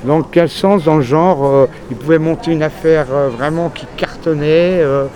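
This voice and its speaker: A man's voice: a little high, moderate pace. Rambling man talking on a busy street. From France. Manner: rambling, frank storytelling with many fillers. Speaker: journalist. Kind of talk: street interview. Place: outdoors on a busy city street. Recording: crowd noise outdoors. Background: crowd and traffic.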